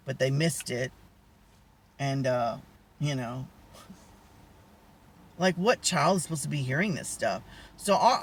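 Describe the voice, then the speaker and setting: A young man with a high voice, telling personal stories in a rambling, candid way, often losing his thread. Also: fairly bright tone. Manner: rambling, candid, lots of restarts and asides. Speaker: vlogger. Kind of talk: casual storytelling to camera.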